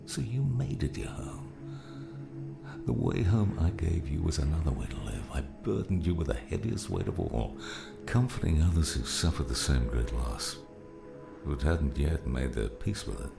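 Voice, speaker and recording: A gruff old mentor in an audiobook, acted out by a male narrator, with an extremely low, creaky voice that rises and falls freely. He is wry and fatherly, and there is music under his words. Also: very animated, moderate pace, full character voice. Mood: earnest, reflective. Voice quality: deep, gravelly.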